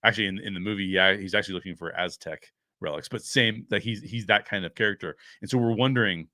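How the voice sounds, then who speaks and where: A man's voice: lowish voice, fast talker, bright, forceful tone, no echo, clean studio sound. A man giving casual solo commentary as a show host, often thinking aloud to his viewers. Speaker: host of a pop culture review show.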